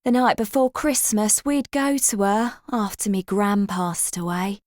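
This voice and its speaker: A woman's voice: medium pitch, a little slow, a little mellow, dry recording, studio quality, lightly acted. An actress playing a wistful, homely woman reminiscing in folksy dialect in an audiobook drama. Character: wistful, homely woman recalling family times.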